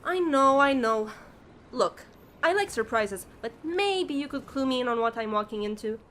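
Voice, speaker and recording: A woman's voice: sits high, animated, moderate pace, very noisy. A woman acting a young vigilante superheroine in an audio drama, sarcastic, casual and idealistic. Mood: sarcastic, exasperated.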